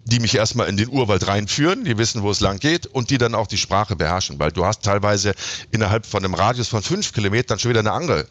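A man's voice: fairly low pitch, animated, fast talker, fairly bright tone, no echo. A man being interviewed, telling anecdotes in a casual, colloquial, wry way and acting out bits of dialogue. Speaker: interview guest, reporter who does self-experiments. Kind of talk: casual anecdotal storytelling in a conversation.

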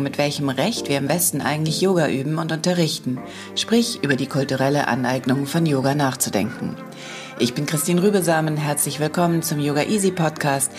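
A woman hosting a podcast, reading a scripted intro and then interviewing a guest in a relaxed, conversational way with some fillers. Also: sits low. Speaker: podcast host.

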